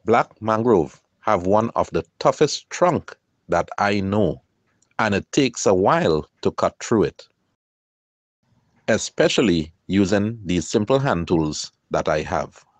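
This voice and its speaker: Calm man with a lively low voice. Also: no echo. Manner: calm, measured, explanatory. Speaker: kayaker and channel host. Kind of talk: scripted voice-over narration.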